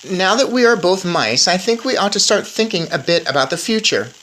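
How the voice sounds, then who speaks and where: A woman's voice: on the low side, lively intonation, fairly quick, fairly bright tone, a little hiss or hum, voice pushed up. A woman voicing a sensible young boy turned mouse in a storybook, earnestly explaining and coaxing.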